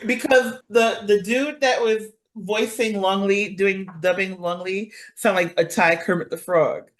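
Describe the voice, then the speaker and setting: A woman's voice: medium pitch, steady tone, a little slow. A woman in casual chat, talking bluntly and swearing freely. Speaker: podcast guest.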